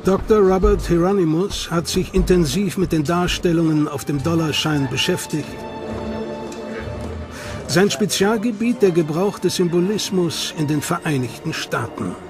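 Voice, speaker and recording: A man's voice: fairly high pitch, normal speed. A man narrating a documentary from a script with a dramatic, suspenseful delivery. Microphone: studio mic. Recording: studio voice-over over loud music.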